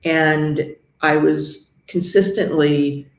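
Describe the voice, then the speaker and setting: A middle-aged woman from New York, a real estate agent, speaking as a guest in an interview with a measured, understated manner. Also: low-pitched, fairly even intonation, roomy sound. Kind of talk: interview conversation.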